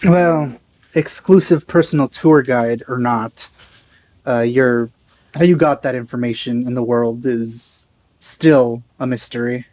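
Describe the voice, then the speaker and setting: A man's voice: mid-range voice, a little slow, a little mellow. A man giving casual let's play commentary in a dry, skeptical, sarcastic way. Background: faint game music.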